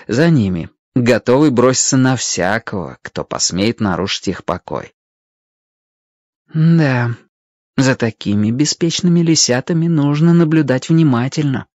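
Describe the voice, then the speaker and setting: An expressive narrator reading a children's animal story in a dramatic, scripted storytelling manner. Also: medium pitch, dry recording. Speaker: audiobook narrator.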